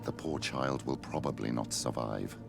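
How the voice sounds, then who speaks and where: An actor playing a grave, fatherly older priest in a video game, wary and worldly, with a gravelly voice and formal manner. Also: a bass voice, moderate pace, music in the background, heavily acted.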